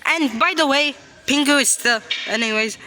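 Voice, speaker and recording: A woman's voice: high voice, background noise. A woman giving casual, off-the-cuff reaction commentary in a blunt, argumentative way, often stumbling and repeating words. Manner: blunt, halting and repetitive, argumentative. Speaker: reaction video host.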